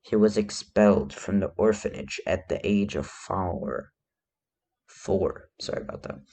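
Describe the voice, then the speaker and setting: A man reading a story aloud as a narrator, a little halting in his delivery. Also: sits low, vocal fry, mellow. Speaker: fan fiction reader.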